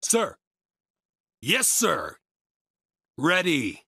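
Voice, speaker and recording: Male actor as game soldier, obedient, clipped military barks, raspy, very animated pitch. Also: very slow, no echo, clean studio sound, exaggerated voice. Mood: dutiful, ready. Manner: clipped, dutiful, loud. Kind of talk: short military voice-acting barks.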